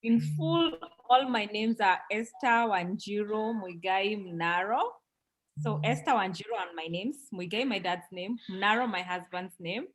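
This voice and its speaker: A woman's voice: medium pitch, unhurried, low-quality recording. A woman in her thirties from Kenya, a parent interviewed on a podcast, telling her story earnestly with frequent ums. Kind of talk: conversational storytelling.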